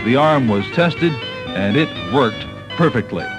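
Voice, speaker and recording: A man's voice: mid-range voice, animated, measured pace. American man narrating a documentary over music. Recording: 1980s cassette tape, music behind. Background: background music.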